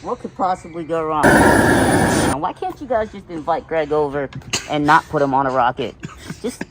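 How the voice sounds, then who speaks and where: A man's voice: a little high, normal speed, very noisy. A man joking around with friends in a playful, wry way. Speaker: friend on the shoot.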